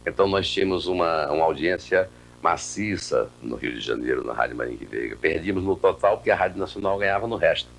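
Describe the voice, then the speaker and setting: A man's voice: deep voice, animated, fast talker, creaky, fairly bright tone, a little hiss or hum. An older man with a Brazilian accent, a veteran comedian casually reminiscing in an interview.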